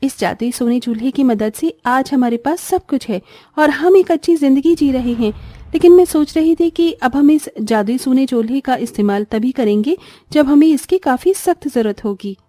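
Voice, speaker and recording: A woman voicing a poor, good-hearted young daughter-in-law, earnest and expressive, in a cartoon story. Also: high-pitched, normal speed, dry recording. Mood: grateful.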